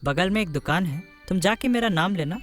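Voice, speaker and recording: Helpful cartoon buddy, male performer: young, practical, slightly high voice, friendly advice over prominent music. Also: lively intonation, brisk. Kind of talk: Hindi cartoon story acting.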